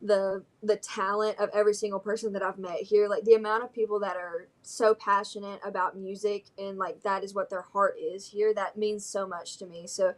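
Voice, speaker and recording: A young woman from Alabama with a Southern American accent, chatting as a guest singer-songwriter in a casual interview, bubbly and giggly with lots of fillers. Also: mid-range voice. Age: young adult.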